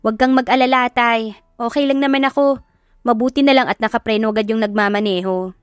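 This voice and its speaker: A woman voicing a feisty young eldest sister in an audio drama, sassy, grumbling and expressive. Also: a little high, fairly quick, clear, resonant tone, no room sound, clean studio sound, soft background music, lightly acted. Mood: exasperated.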